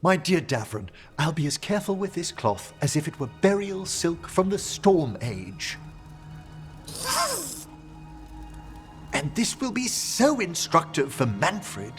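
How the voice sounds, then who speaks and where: Genteel necromancer scholar for a game: high, slow, courteous, theatrically precise. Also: male voice, very animated. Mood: unruffled, courteous. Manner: theatrical, erudite, precise phrasing. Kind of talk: video game voice acting. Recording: music behind the voice.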